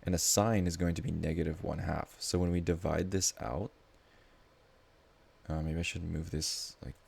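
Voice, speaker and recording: A man's voice: sits low, fairly even intonation, moderate pace, gravelly, darkish tone, breathy edge. A man tutoring math live in a casual, informal way, thinking aloud as he explains.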